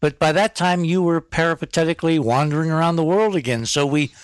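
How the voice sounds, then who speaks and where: A man's voice: fairly high pitch, quick pace, smooth, no echo. A man hosting a radio interview in a conversational style.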